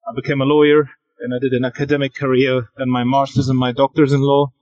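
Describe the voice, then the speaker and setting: A man answering interview questions from outdoors over a remote call, measured and a little repetitive. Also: medium pitch, little pitch movement, darkish tone, dull audio. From Germany. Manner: measured, repeats himself, light fillers. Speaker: podcast guest, football agent.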